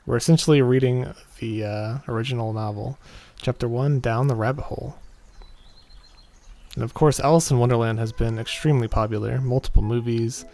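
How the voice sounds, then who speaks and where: A man's voice: medium pitch, fairly even intonation, a little fast, mellow. A man reading a visual novel aloud in an informal way, stumbling over the text and rereading, with casual commentary. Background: background music.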